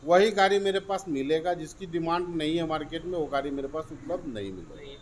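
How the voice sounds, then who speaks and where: A middle-aged car dealer speaks into a clip-on mic in his indoor office. He gives a formal, boastful sales pitch in a slightly high voice. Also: fast talker, slight background noise. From Bihar, India. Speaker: car showroom owner. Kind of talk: interview answers. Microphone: lapel mic.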